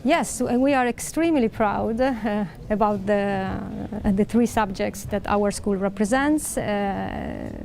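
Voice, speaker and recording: A woman's voice: mid-range voice, measured pace, creaky, hardly any pauses, darkish tone, slight background noise. A middle-aged woman from Italy, a professor speaking on a panel in a large hall, hesitant with many fillers and restarts. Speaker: professor and dean. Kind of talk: answering questions on a panel. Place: large library hall indoors.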